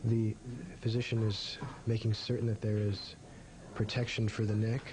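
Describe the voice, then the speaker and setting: Low, flat-voiced male narrator. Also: a crowd in the background. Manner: measured, formal, explanatory. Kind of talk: explanatory medical narration. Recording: 1980s amateur video, busy room noise. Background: busy emergency room voices.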